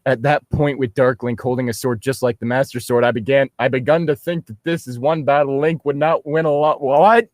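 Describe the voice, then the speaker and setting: A man's voice: mid-range voice, fairly even intonation, fairly quick, few pauses, no echo, clean studio sound. A young man mockingly reading fan fiction aloud and breaking off for sarcastic, sweary commentary. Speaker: reader and co-host.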